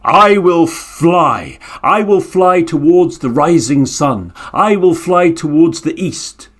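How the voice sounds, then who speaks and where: A mighty, boastful giant bird, acted by a male storyteller, brags in a slow, drawn-out voice. The pitch still rises and falls as he declares his own power. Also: lively intonation. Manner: proud, slow and booming.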